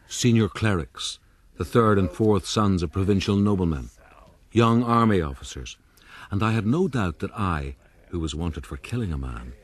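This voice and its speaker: Deep-voiced rogue narrator. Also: male voice. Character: urbane Irish rogue recounting his youth. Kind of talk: scripted first-person radio drama narration.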